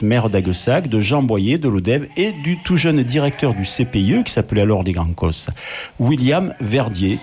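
A man, telling a personal story as a read-out radio column, measured and wry. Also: lowish voice, a little mellow, a little hiss or hum.

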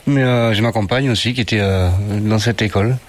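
A man's voice: fairly low pitch, a little fast. A middle-aged man, a parent, answering children's questions in simple, friendly words. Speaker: interviewee, parent. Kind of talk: casual interview answers.

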